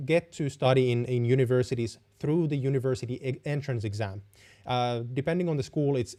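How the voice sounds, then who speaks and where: A man's voice: medium pitch, mellow. A man from Finland, hosting a live-stream Q&A and giving frank, blunt advice with frequent fillers and restarts. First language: Finnish. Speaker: host answering viewer questions.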